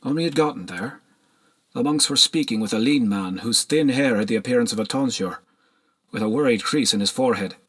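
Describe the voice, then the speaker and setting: A man narrating a historical novel as an audiobook in a measured, solemn storytelling manner. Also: medium pitch, a bit bright, husky.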